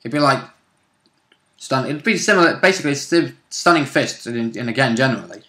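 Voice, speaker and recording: A man with a medium-pitched voice in relaxed conversation about a tabletop game. His phrasing is informal and slangy, like someone chipping in among friends. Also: fairly quick.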